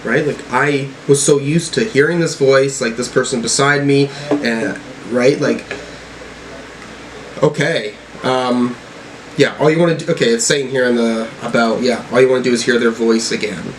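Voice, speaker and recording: A man talks casually through his reactions as a music review host. His delivery is halting and rambling, as if thinking aloud, at an ordinary medium pitch. There is a faint noise behind his voice. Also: fairly even intonation, poor audio. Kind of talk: casual album reaction commentary.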